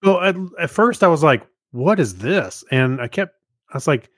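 A man's voice: on the high side, very animated, normal speed, fairly bright tone, dry recording, studio quality. A man co-hosting a podcast, talking in a casual, conversational way. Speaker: podcast co-host. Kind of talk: casual chat.

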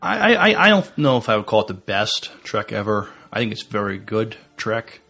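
A man co-hosting a podcast, talking casually about films in a halting, stammering way. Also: fairly low pitch, very animated, creaky, sharp tone. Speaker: podcast co-host. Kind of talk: casual film review chat.

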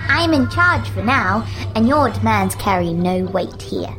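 A woman's voice: medium pitch, lively intonation, unhurried, voice pushed up, natural voice. A woman voicing an uncanny little girl with an upper-class English accent, prim, pompous and teasing. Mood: superior. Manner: pompous, teasing, sing-song. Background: music.

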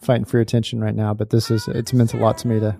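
Mellow friendly male podcast host. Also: lowish voice, level tone, very fast, gravelly. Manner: friendly, affirming, laughs often. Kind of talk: casual interview chat.

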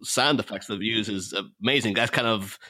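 A man in casual podcast chat, talking in an animated, rambling way. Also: fairly low pitch, moderate pace. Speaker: podcast panelist.

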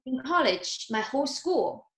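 A woman's voice: high-pitched, big pitch swings, measured pace, reverberant, low-quality recording. A woman in her thirties from China with a Chinese accent, speaking as an interview guest over a video call, earnest and grateful, with small grammar slips. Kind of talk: interview, telling her life story.

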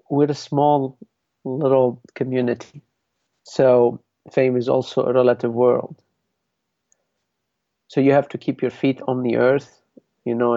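A man from Lebanon speaks as a podcast guest with a gravelly, mellow voice. He talks reflectively and rambles, circling back with restarts. The sound is dry and a little dull. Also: mid-range voice, no room sound. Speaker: guest, belly dance artist. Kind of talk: podcast interview.

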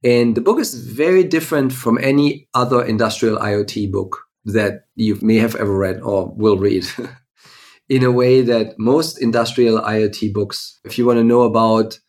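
A man's voice: fairly low pitch, moderate pace, a little mellow. A man giving conversational, explanatory answers as an interview guest. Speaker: guest, tech company CEO.

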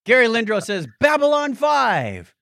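American man, podcast host, lively swooping intonation, jokey casual banter, close dry sound. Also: extremely high pitch, measured pace, creaky, no echo. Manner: jokey, playful. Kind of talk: casual comedic chat.

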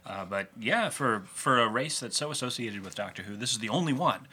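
A man chats casually on a podcast panel about television. His voice is mid-pitched and very bright, with a forceful, sharp edge. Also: expressive, brisk, breathy edge. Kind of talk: casual chat about a TV show.

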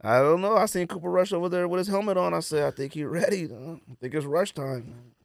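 A man's voice: fairly high pitch, brisk, creaky, no echo, clean studio sound. A man co-hosting a podcast, chatting casually about sports in an informal, slangy, conversational way. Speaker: podcast co-host.

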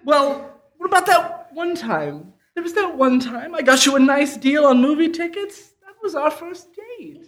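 A performer playing a clingy talking website pleads like a dumped lover in a radio comedy. The voice is high and bright, swooping melodramatically, with slow, whiny stammering. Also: sing-song. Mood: tearful, wounded. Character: needy, jilted deal website personified, clingy and weepy. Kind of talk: live old-time radio comedy sketch. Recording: live unedited stage performance.

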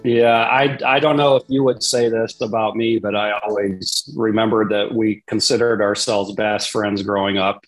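A man in his sixties hosts a podcast conversation, his voice sitting on the lower side. He talks in a relaxed, meandering way, full of uh's and restarts. A little guitar music plays faintly behind him. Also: normal speed, few pauses, low-quality recording. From Papua, Indonesia. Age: 60s. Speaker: podcast host. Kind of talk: casual interview chat.

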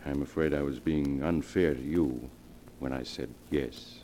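An actor playing a grave family doctor in 1940s radio melodrama, solemn, measured and authoritative. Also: male voice, rumbling low, fairly even intonation, dark tone, slight background noise. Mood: regretful, explaining. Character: grave, controlled family doctor breaking bad news. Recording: vintage 1940s radio broadcast.

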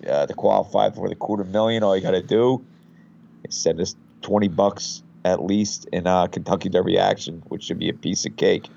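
A man with a head cold speaks in a gravelly, hoarse, low voice over a dull lo-fi line. He is a betting site guest who rambles easily, with plenty of "you know"s. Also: moderate pace, slight background noise. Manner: relaxed, rambling, many fillers. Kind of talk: casual podcast interview.

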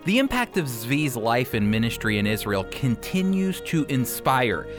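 A man hosts a religious radio program in a medium-pitched voice. His delivery is scripted presenting. Music plays clearly underneath him. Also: very animated, normal speed. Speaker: radio host and teacher.

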